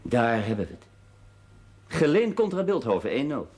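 A man's voice: medium pitch, big pitch swings, moderate pace, a little mellow, breathy edge. An actor with a Dutch accent playing a sardonic, evasive husband and new father in a period television drama, with a dry, brusque manner. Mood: defensive, bitter. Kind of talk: naturalistic television drama acting. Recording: noisy 1970s television soundtrack.

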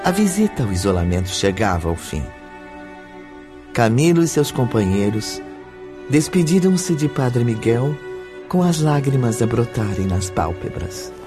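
A man's voice: medium pitch, big pitch swings, unhurried. A man with a Brazilian accent narrating a spiritual radio drama as a solemn storyteller. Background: music.

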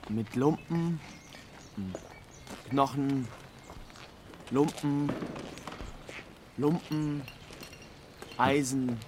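An actor playing a simple young small-town rag dealer who answers haltingly and evasively in a radio drama. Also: male voice, medium pitch, noisy background. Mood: halting, defensive.

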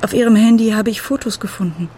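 A woman's voice: medium pitch, quick pace, dark tone, slight background noise, natural voice. An actress playing a worried middle-aged mother in a German radio drama, plain-spoken and brooding. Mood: troubled, reflective. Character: worried, questioning mother of an anorexic teenage girl. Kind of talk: radio drama dialogue and narration.

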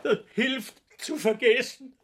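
A man playing a slippery, melodramatic mourner in a radio drama, husky and tearful, lamenting in drawn-out wails. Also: extremely high pitch, a bit bright, heavily acted. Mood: sham grief. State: crying. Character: theatrical sham-grieving man, wailing, slippery, overwrought. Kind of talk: German radio drama acting.

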